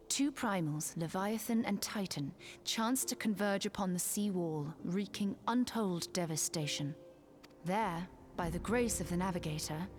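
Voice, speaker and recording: A woman's voice: medium pitch, natural voice. An actress playing a cool, learned, dry-witted female adviser in a fantasy game, with formal, archaic phrasing. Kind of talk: scripted fantasy game dialogue. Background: game music.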